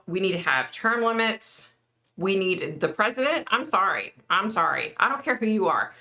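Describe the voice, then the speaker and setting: A middle-aged woman with a deep voice, hosting a live stream and chatting bluntly with viewers, ranting and opinionated. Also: bright, forceful tone. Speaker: psychic livestream host. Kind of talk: casual live chat answering viewers.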